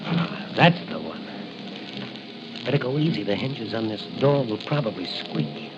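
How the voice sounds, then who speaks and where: A man's voice: medium pitch, animated, a little slow. An actor playing a bold, determined masked crime-fighting patrolman, thinking aloud in clipped remarks in 1940s radio style. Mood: wary, intent. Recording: noisy, muffled 1940 radio recording.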